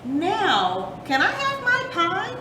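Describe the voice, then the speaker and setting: A woman's voice: huge pitch swings, slow, exaggerated voice. A woman voicing a playful, eager young boy possum in a children's storybook. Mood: cheerful, friendly. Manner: eager, pleading, carefree. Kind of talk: children's storybook read aloud. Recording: roomy, distant mic with rumbling noise.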